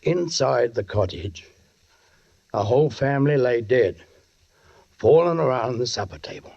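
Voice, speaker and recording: An actor playing a brooding, menacing British police sergeant in a 1940s radio suspense drama, speaking in deliberate, literary phrases. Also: male voice, mid-range voice, expressive, vocal fry, darkish tone. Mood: grim, haunted. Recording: muffled 1940s radio recording.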